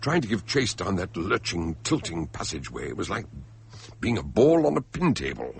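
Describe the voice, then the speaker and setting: An actor playing a mild, cautious doctor who recounts a shipboard mystery in a radio drama, with a measured, hesitant manner. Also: male voice, low voice, big pitch swings, gravelly, a little hiss or hum, exaggerated voice. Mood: tense recounting. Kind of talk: radio drama narration and dialogue.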